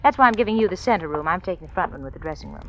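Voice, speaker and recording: Woman acting a gushing, animated social-climbing wife. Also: on the low side, very fast, lightly acted. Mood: gushing, breezy. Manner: gushing, affected, cajoling. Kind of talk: 1940s radio drama acting. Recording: noisy, muffled 1940s radio recording.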